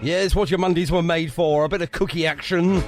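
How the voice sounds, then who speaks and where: A man's voice: a little high, steady tone, fairly quick. A man hosting a live radio show with casual, jokey, teasing banter. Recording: music behind the voice. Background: music bed.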